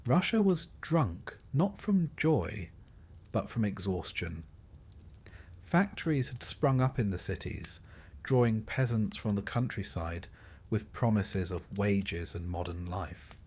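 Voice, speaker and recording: A man narrating a scripted history sleep story in a measured, literary way with dry irony. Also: lowish voice, lively intonation, darkish tone, slightly rough.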